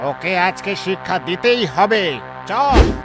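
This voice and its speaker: Animated high-pitched schoolboy bully. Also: male voice, slow pace, full character voice. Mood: indignant. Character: indignant, vengeful schoolboy ringleader bully. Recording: loud music behind the voice.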